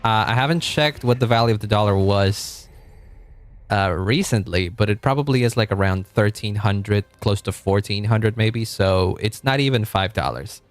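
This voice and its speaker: A man from Argentina streaming casually, rambling, correcting himself and chuckling as he reads chat, with a deep voice. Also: moderate pace, dry recording.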